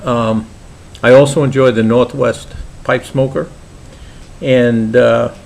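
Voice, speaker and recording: An older man with a Brooklyn accent, talking casually and haltingly about his hobby in a home vlog, with many pauses and restarts. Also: medium pitch, fairly even intonation, gravelly, darkish tone, rough recording. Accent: New York (Brooklyn). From Brooklyn, New York. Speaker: pipe maker vlogger.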